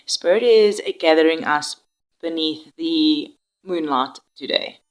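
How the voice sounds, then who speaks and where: A woman's voice: sits low, slow. A woman with a cold giving a soothing tarot reading, slightly nasal, with frequent fillers. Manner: soft, soothing spiritual address with frequent fillers. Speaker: tarot reader.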